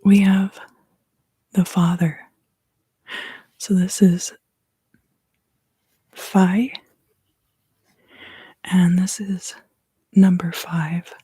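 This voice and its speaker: A woman from the southern US East Coast giving a soft, unhurried ASMR card reading in whispers, often pausing. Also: fairly low pitch, level tone, slightly rough, no room sound. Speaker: tarot reader and ASMR creator.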